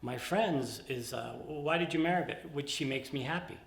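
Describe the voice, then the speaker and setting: A man's voice: a little high, moderate pace, vocal fry, slight background noise. Sincere man in his seventies, echoey room. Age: 70s. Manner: sincere, repetitive phrasing. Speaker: interviewee. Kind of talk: casual interview answers.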